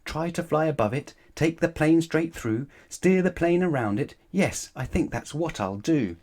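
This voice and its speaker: A man's voice: a little high, expressive, unhurried, a little mellow, voice pushed up. A man voicing an eager, kind-hearted young girl who flies planes in a children's storybook. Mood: decisive.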